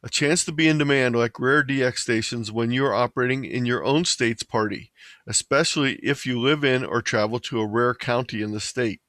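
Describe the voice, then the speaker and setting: A man narrating a scripted slideshow presentation in a measured, slightly halting way, stumbling over the odd word. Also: medium pitch, fairly bright tone, no echo, clean studio sound. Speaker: presenter of an amateur radio slideshow.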